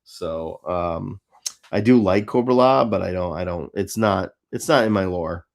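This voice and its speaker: A man's voice: deep voice, a little slow, vocal fry. A man hosting a live stream, talking in a casual, rambling and opinionated way about fan interests.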